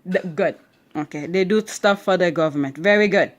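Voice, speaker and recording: A woman's voice: lowish voice, moderate pace. A woman with an accent reading a history book aloud to her children at home, stretching key words and stopping often with "okay?" to check they understand. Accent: non-standard English accent.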